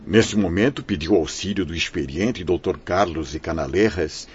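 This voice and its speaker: A man's voice: deep voice, moderate pace, slightly rough. A man playing a wise, kindly priest and spirit mentor, narrating solemnly and theatrically in a radio drama. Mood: solemn, instructive. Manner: solemn, preachy, theatrical phrasing. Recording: dull, muffled radio-play recording.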